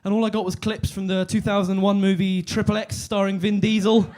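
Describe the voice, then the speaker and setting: A man in his twenties doing a deadpan stand-up set on a comedy club stage, halting with fillers and restarts. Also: sits high. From London. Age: 20s.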